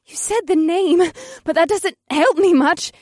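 A woman voicing sharp-tongued, combative young witches with a raspy, snappy delivery in a dramatized fantasy audiobook. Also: very high-pitched, measured pace, no room sound, clean studio sound. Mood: scornful. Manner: snappy, scornful.

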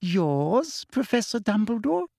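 A man voicing a prim, falsely sweet female school inspector who turns shrill and menacing. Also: big pitch swings, slow, creaky, darkish tone, dry recording, studio quality, exaggerated voice.

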